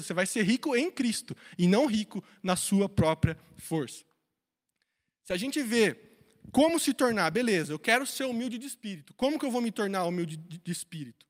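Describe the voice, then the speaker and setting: High-voiced Brazilian man preaching in a hall. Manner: colloquial, emphatic, acts out imagined dialogue with rhetorical questions. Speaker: preacher leading a Bible study. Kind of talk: informal sermon. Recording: reverberant hall.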